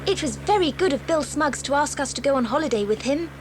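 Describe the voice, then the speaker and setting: A woman's voice: sits high, animated, fast, noisy background. A woman voicing a practical, alert schoolgirl adventurer in a children's audio drama. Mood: composed.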